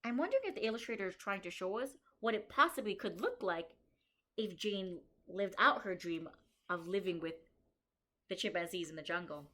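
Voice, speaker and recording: A woman's voice: mid-range voice, a bit bright. A kindergarten teacher, a woman, reading a picture book aloud to young children and stopping to think aloud and explain what the author and illustrator show. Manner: slows down and stresses key story lines.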